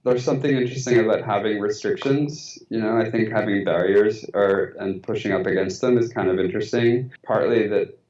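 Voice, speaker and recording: A man's voice: fairly low pitch, a little fast, a little mellow, slight background noise. A man with an American accent, a guest on a phone-in interview, thoughtful and hesitant with many fillers. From Mexico. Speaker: guest writer. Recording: tinny echoey phone line.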